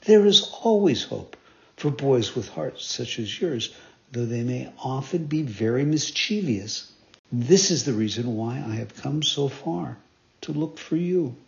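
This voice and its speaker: A man voicing a kind, patient, motherly fairy who speaks gently and instructs calmly. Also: mid-range voice, measured pace, creaky, pitched below the performer's own voice. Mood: tender. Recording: dull lo-fi recording.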